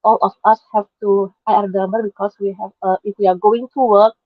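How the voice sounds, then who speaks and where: Woman from Indonesia, mid pitch, halting speech, video call. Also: little pitch movement, no echo, phone-quality sound. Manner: halting, searching for words. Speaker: entrepreneur and panelist. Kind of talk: casual group video call.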